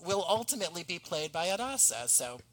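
A man's voice: high-pitched, very animated, a little fast, fairly bright tone, slight background noise. A man chatting casually as a podcast guest, talking as an audio drama creator. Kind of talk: casual chat.

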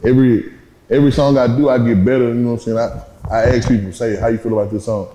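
A man's voice: little pitch movement, quick pace, warm tone, slight background noise. A man, a rapper talking casually about his life in a laid-back, slangy way. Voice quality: deep voice. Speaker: rapper guest. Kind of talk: casual interview. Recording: echoey room.

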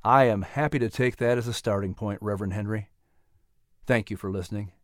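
A man voicing a gentle, earnest colonial preacher who speaks in a calm, measured, persuasive way. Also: lowish voice, no echo, studio quality, voice pushed down, lightly acted.

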